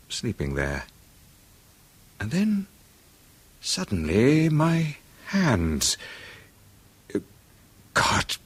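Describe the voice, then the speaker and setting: An actor playing a tormented man in a 1970s radio drama, talking haltingly with bitter nervous laughs. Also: mid-range voice, slightly rough, heavily acted. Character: tormented middle-aged male musician, haunted and bitter.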